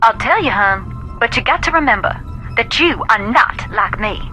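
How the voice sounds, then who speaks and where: A woman's voice: fairly high pitch, animated, normal speed, bright, forceful tone, soft background music, low-quality recording. An actress playing a folksy, intense Southern American woman who talks to spirits, telling dramatic stories in a video game. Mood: stern warning. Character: folksy, intense woman ghost-seer, knowing and haunted.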